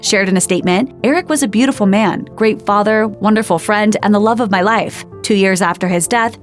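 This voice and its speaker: Female narrator, mid-range voice, scripted documentary read over light music bed. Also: mid-range voice. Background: background music.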